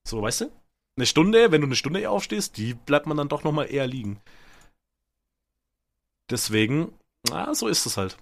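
A man's voice: on the high side, sing-song, normal speed, creaky, pauses often, dry recording. A young man chatting casually as a podcast co-host, with slangy banter. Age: young adult.

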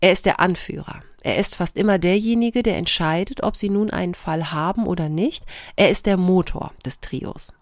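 A woman's voice: fairly low pitch, normal speed, hardly any pauses, no room sound, clean studio sound. A woman hosting a podcast, reading aloud and talking things through. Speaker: podcast host. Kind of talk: podcast talk, reading out written answers and chatting.

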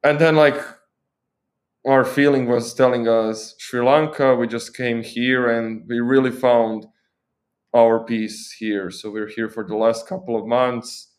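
A man's voice: medium pitch, steady tone, unhurried. A young man from Croatia with a Croatian accent, a guest talking casually and thoughtfully in an interview, often restarting his phrases. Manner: thoughtful, with frequent restarts and fillers.

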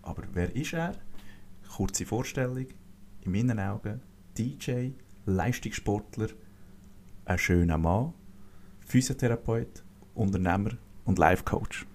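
A Swiss German man hosts a podcast in relaxed, joking chat. His voice sits on the low side. He keeps the banter easy and loose. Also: a little slow, slight background noise. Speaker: podcast host. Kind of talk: casual chat.

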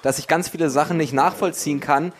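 Male podcast host, casual chat, stammers and restarts, voice a bit high. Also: steady tone, quick pace. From Berlin.

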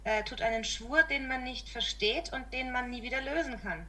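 A woman co-hosting a podcast, talking fast and passionately. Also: high-pitched. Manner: fast, passionate, expressive talk. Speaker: podcast co-host. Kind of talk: casual history podcast chat.

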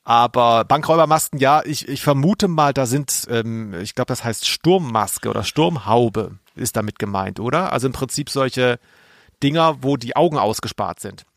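A man with a gravelly, creaky voice of average pitch co-hosts a podcast. He chats casually and humorously, wandering into asides, recorded close and dry. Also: normal speed, no echo. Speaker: podcast co-host.

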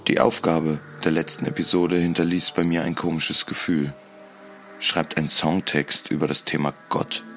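Really low voiced guy, brooding solemn storytelling, faint music behind him. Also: flat delivery, normal speed. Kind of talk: scripted dramatic first-person narration.

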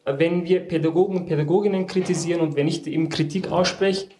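A man's voice: on the high side, fairly even intonation, fast talker, mellow, slight background noise. Earnest man in an echoey room. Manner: earnest, searching, restarts words. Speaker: teacher in a panel discussion. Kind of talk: discussion.

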